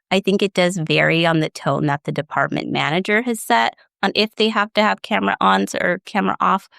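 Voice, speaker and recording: Woman with a gravelly, smooth voice. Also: lowish voice, fairly bright tone, no echo, clean studio sound. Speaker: HR guest. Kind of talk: conversational interview answers.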